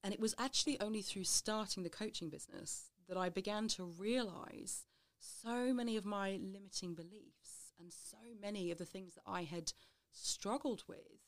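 A woman in her thirties, a life coach, talks through her childhood as a guest on a podcast. Her voice sits in a middle range, and she tells her story openly, often breaking into laughter. She speaks in a thoughtful, reflective way. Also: medium pitch. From Britain, raised between Scotland, Devon and Wales. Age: 30s. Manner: open, quick to laugh, reflective. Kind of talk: interview, personal story.

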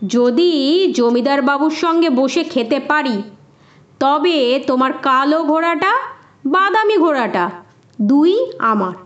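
Casting: cheeky, confident poor peasant man, played by a woman, storybook tale, high voice, unhurried, slightly dull recording. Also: animated. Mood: daring.

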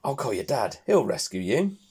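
A man voicing a frightened, fussing mother pig in a storybook, shrill and high. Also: very animated, moderate pace, darkish tone, slightly rough. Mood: worried. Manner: shrill and fussy.

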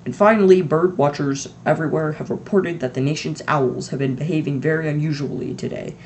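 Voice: medium pitch, brisk, a little mellow, a little hiss or hum, close to an everyday voice, poor audio. A reader doing a chirpy male TV newscaster. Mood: wry. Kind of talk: mock news broadcast reading.

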